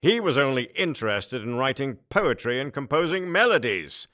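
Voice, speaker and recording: A man's voice: medium pitch, very animated, moderate pace, bright, forceful tone, voice pushed up. A man voicing a haughty, scheming alien emperor in an audiobook, imperious and condescending, grandiose with jovial menace. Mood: amused, boastful. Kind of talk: audiobook character voice.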